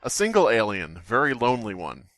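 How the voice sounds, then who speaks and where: Give the voice of a man co-hosting a story-reading podcast. His pitch swings around in an animated way and he sounds sarcastic and joking. The sound is dry, with next to no room in it. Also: fairly quick, no echo. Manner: sarcastic, wisecracking. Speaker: podcast co-host reading stories aloud. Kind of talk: casual joking chat and mock-dramatic story reading.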